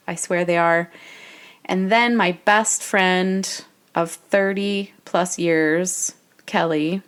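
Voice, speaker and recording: Middle-aged American woman, podcast host, slightly low voice, talks in a chatty, wandering way. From United States. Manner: chatty, reflective, digressive. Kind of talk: personal podcast monologue.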